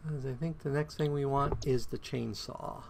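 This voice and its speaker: A man giving casual gameplay commentary on his own play, a bit croaky today, counting items aloud and humming to himself. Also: medium pitch, fairly even intonation, normal speed, noisy background. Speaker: game streamer.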